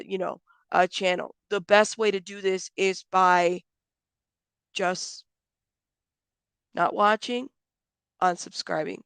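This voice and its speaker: A woman hosting a live commentary stream, stuffed up and a little hoarse, talking bluntly and chatting with viewers by name. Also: mid-range voice, fairly bright tone, no room sound. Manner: blunt, frank, calls people out, swears; chatty, greets viewers by name, many fillers. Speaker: YouTube commentary host.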